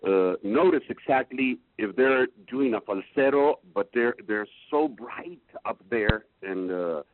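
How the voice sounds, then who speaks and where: A man with a baritone voice, a singing student talking in a halting way that restarts words often. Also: animated. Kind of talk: casual chat in a lesson. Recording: tinny call line, faint hiss.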